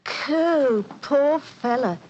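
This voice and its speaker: A woman acting a rough, chatty working-class London woman with a Cockney accent in a 1940s radio drama. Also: high-pitched, lively intonation, very slow, heavily acted. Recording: dull, noisy 1940s radio recording.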